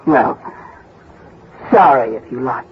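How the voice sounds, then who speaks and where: An actress playing a bitter, money-minded widow in a 1950s radio detective drama, speaking with frank, sardonic defiance. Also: female voice, extremely low pitch, very animated, slow pace, gravelly, full character voice. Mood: cool, sardonic. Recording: dull lo-fi old radio, faint noise.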